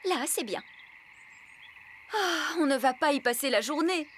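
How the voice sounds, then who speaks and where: A woman playing a brisk, bossy fairy nanny in a French cartoon dub, speaking in a prim, formal, theatrical way. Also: sits high, lively intonation, fairly bright tone, slight background noise. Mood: prim, impatient. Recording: music behind the voice.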